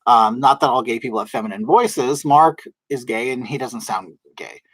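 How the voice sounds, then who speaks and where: A man with a soft, feminine-sounding voice, rambling casually to his livestream viewers with lots of fillers and restarts. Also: medium pitch, moderate pace, slightly rough, no room sound. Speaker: livestream host. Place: at home in drag, wig on.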